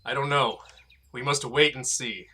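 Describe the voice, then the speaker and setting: A man voicing a fierce, commanding dark warrior cat in a dramatic fan-made audiobook, stern and accusing. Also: medium pitch, unhurried. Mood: solemn, stern.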